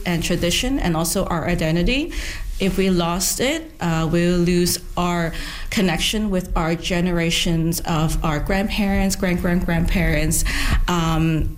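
A woman language instructor speaking earnestly in an interview, with some hesitations. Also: fairly low pitch.

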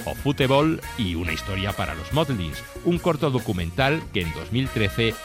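Male host, lowish voice, lively intonation, guitar music behind. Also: moderate pace. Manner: lively, informative presenting. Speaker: program host. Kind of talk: scripted presenting.

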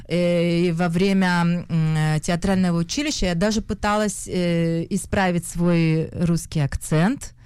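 A young woman from Russia with a Russian accent, an actress giving an interview, often pausing and restarting as she searches for words. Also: on the low side, steady tone, a little mellow, smooth, no room sound. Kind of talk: hesitant conversational interview.

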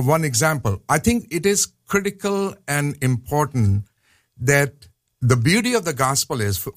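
A man's voice: mid-range voice, dry recording. A man from Pakistan with a Pakistani accent, a professor speaking as a radio interview guest in a studio, earnest and explanatory, often repeating his points for emphasis.

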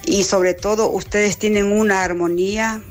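A woman's voice: mid-range voice, steady tone, moderate pace. A woman with a coastal Ecuadorian accent chatting casually to a radio station as a listener asking for music. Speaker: radio listener sending a song request. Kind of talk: casual chat.

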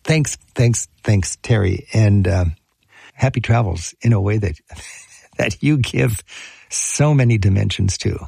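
A man hosting a radio travel conversation, thanking his guest in a halting, repetitive way. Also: fairly low pitch, creaky, husky, dry recording, studio quality. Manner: halting, warm thanks with repeated words. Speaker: radio host. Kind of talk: casual conversation.

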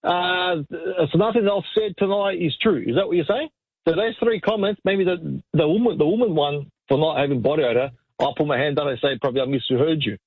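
High-pitched husky man on a phone line. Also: fairly quick, no echo. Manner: insistent, excitable, repeats himself. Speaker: talk radio phone-in caller. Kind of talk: animated banter and argument. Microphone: phone call. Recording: tinny narrow phone line.

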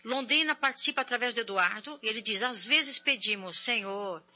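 Chatty woman radio host, bright voice. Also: on the high side, moderate pace, highs cut off, faint music behind. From São Paulo, Brazil. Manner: chatty, warm preaching tone with frequent "né?". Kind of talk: religious call-in radio talk.

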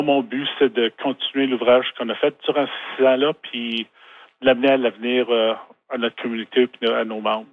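A Canadian business owner answers questions over the phone in a halting way, often restarting his words. The line is thin and tinny, as if heard through a handset. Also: mid-range voice. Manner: halting, many restarts and fillers. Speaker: interview guest, business owner. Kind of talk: phone interview. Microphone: telephone.

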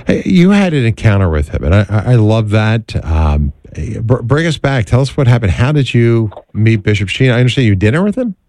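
Low, mellow-voiced radio host. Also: male voice, sing-song, fairly quick, dry recording, studio quality. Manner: affirming, conversational. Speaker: radio talk show host. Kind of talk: radio interview.

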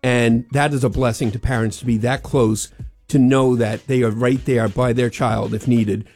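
A man's voice: medium pitch, fairly even intonation, normal speed, a little mellow, soft background music. An older man with a New York accent, a guest on a radio show, talking earnestly and rambling a little about a charity sponsorship. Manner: earnest, rambling, repeats "as far as it". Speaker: sponsor guest, restaurant owner. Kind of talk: radio interview.

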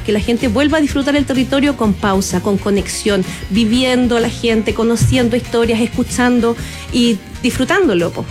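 Enthusiastic Chilean woman guest. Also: high-pitched. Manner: enthusiastic, flowing, with Chilean fillers. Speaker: tourism entrepreneur guest. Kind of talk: radio interview.

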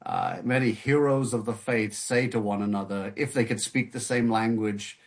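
Soft-spoken man with many restarts. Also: lowish voice, moderate pace. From United Kingdom. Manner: hesitant, many restarts and fillers. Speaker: guest author. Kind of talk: interview conversation.

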